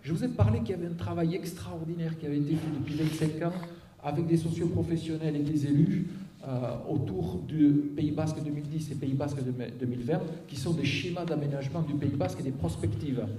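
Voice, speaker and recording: A man's voice: fairly high pitch, a little fast, dark tone, a little hiss or hum. Muffled-toned man presenting in an echoey auditorium. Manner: didactic, step-by-step, with check-in tags and rhetorical questions. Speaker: local elected official presenting a plan. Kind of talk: public presentation, explanatory talk. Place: auditorium, public meeting. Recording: echoey auditorium, picked up from a distance.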